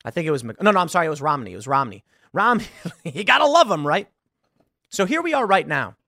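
A man's voice: a little high, sing-song, fast, vocal fry, sharp tone, no echo, studio quality. A man in his thirties hosting live political commentary, impassioned and sarcastic, mocking the people he quotes. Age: 30s.

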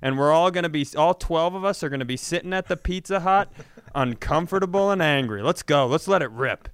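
Clear-voiced man telling profane comic stories. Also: on the high side, fairly quick, no room sound, clean studio sound. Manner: profane, exasperated storytelling. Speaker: comedy podcast host. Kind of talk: casual comedic chat.

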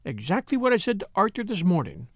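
A man voicing a shrewd doctor's wife with a brisk, dry way of speaking. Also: sits high, huge pitch swings, no room sound, pitched above the performer's own voice, heavily acted.

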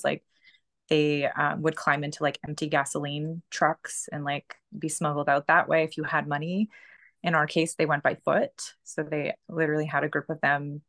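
A woman in her thirties speaks as a podcast guest with a low pitch and a gravelly edge of fry. She talks loosely and chattily, leaning on "like" and "you know" as her thoughts unfold. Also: fairly bright tone, no echo. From Canada. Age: 30s. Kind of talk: casual conversational storytelling.

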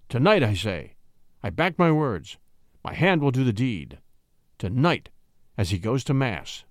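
A bold conspirator captain, all fire and steel, pushes his words out with lowered pitch and controlled violence, voiced by a male reader. The recording is dry and close. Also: dry recording.

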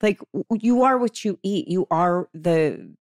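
A woman's voice: on the low side, animated, measured pace, darkish tone, no room sound, clean studio sound. A woman co-hosting a podcast, talking in a casual, earnest conversational style. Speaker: podcast co-host.

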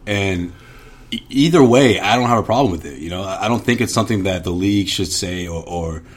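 A man's voice: sits low, fairly quick, slight background noise. A man co-hosting a sports podcast in casual chat, often stumbling and repeating words as he talks. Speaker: podcast co-host.

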